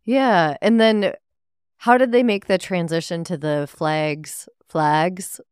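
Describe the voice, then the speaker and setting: A woman's voice: on the low side, slow, a little mellow, clean tone, no echo, clean studio sound. A woman with a Minnesotan accent hosting an interview, asking friendly, curious questions. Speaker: podcast host. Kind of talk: casual interview.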